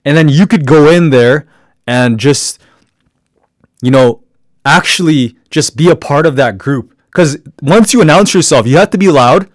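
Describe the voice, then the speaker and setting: Loud, deep-voiced man giving casual pep talk. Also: measured pace, no echo, clean studio sound. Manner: casual, encouraging, with many fillers and restarts. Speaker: podcast speaker giving networking advice. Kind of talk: motivational talk.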